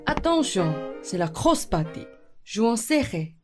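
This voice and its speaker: A woman's voice: mid-range voice, very animated, takes it slowly, over loud music. Woman playing a bungling swindler duke, wildly swooping delivery. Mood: conspiratorial, tense. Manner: hesitant stammering, then effusive and bossy. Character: bungling male thief posing as a Spanish duke. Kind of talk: student radio play acting.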